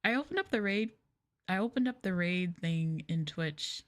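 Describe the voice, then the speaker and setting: A soft-spoken woman streamer chatting casually on an ASMR livestream in an unhurried, hesitant way, close to the microphone. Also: on the low side, expressive. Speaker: VTuber streamer, host.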